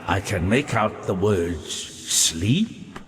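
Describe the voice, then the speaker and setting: A long, hollow reverb with some hiss surrounds this ghostly old-world librarian from a fantasy game. He muses in florid, scholarly phrases, slowly and deliberately, with fascination and melancholy. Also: fairly low pitch, lively intonation. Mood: grave, troubled. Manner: erudite, deliberate, florid, musing. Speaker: non-player character in a fantasy game. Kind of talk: theatrical game dialogue, reading and translating old texts.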